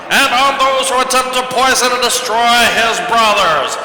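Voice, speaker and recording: A man's voice: very high voice, normal speed, pushed and bright, full character voice. A man playing a bombastic cartoon hype man who declaims theatrically like a preacher. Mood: righteous fury. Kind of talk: mock-sermon oratory and ring introduction. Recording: echoey hall reverb, faint noise.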